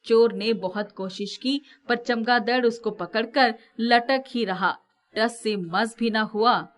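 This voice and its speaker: A woman's voice: fairly high pitch, slow. A woman narrating a scripted moral tale as the storyteller.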